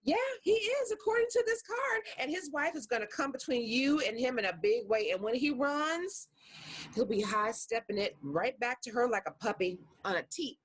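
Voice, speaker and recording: A woman's voice: on the high side, animated, normal speed, slightly rough. A woman playing a blunt, taunting goddess who reads fortune cards in a comedy screenplay reading. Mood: smug, taunting. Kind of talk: comedy screenplay table read. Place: online video call reading.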